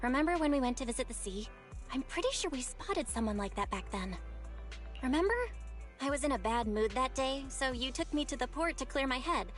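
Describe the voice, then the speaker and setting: A woman voicing a lively young sister who teases her brother in a video game. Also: high-pitched, a little fast, faint music behind. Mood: playful, teasing. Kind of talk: scripted English video game voice acting.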